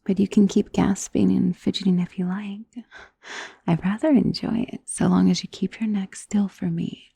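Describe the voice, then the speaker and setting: Breathy, seductive vampire noblewoman acted by a woman. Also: medium pitch, fairly even intonation, normal speed, no room sound. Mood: teasing, indulgent. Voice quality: breathy, soft. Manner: intimate, coaxing, often dropping to a whisper. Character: elegant seductive female vampire noble, doting and possessive. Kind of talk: ASMR roleplay. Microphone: close studio mic.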